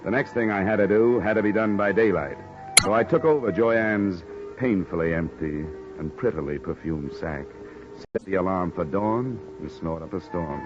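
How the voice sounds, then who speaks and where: An American actor playing a cynical, wisecracking hard-boiled insurance investigator in an old radio noir drama, with sardonic, clipped slang. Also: male voice, low voice, normal speed, music in the background, lightly acted. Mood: wry narration. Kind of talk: 1950s radio noir acting and narration. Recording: muffled, noisy old radio recording.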